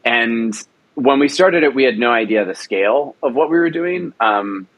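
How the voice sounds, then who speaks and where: A man being interviewed, explaining casually in a halting way, repeating phrases as he goes. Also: medium pitch, animated, dry recording, narrow, tinny audio. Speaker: radio drama producer, interview guest.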